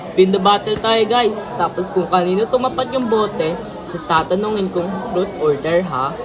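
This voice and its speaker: A woman's voice: medium pitch, normal speed, natural voice. A young Filipino woman playing a defensive, joking teenage student in an amateur radio drama, casual and slangy. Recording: crowd chatter behind the voice.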